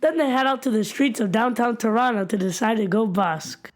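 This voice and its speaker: A man's voice: high-pitched, brisk. A young man casually narrating a homemade audio story.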